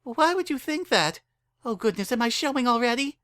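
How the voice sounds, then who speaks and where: A man plays a young storybook pegasus mare, an eager and naive bride. The voice is pushed high and bright, excitable and full of questioning lilt. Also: normal speed. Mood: puzzled, flustered.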